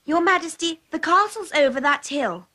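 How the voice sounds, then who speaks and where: A woman playing a clever, confident talking cat in a children's cartoon, brisk and reassuring with courtly manners. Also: very high-pitched, expressive, pushed and bright, heavily acted. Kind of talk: dubbed children's cartoon acting.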